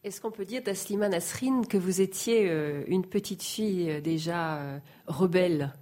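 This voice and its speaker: A woman's voice: fairly low pitch, normal speed, mellow. A woman interviewer asking long, formal questions full of small hesitations.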